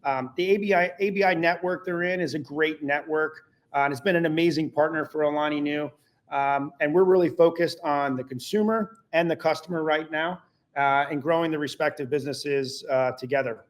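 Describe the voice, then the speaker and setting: A male company executive fields analyst questions on an earnings call. His voice sits somewhat high for a man, and he talks in upbeat, promotional corporate language. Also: brisk.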